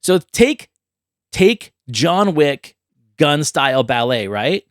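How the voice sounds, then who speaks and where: A man's voice: fairly high pitch, big pitch swings, takes it slowly, no room sound. Man hosting a dry, close-miked podcast. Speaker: podcast host. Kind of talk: casual film chat and movie pitching.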